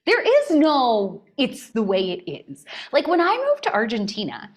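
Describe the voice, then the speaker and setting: An American woman in her forties, a podcast guest telling stories in a casual, animated way and often laughing. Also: on the high side, measured pace. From United States. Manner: animated and expressive, breaks into laughter. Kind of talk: casual conversational storytelling.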